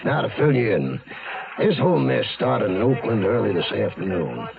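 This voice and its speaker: Grim sheriff, gravelly, animated, brisk briefing, radio drama, dull sound, busy background voices. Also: male voice, on the low side, a crowd in the background. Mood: grave, matter-of-fact. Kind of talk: 1970s radio drama acting.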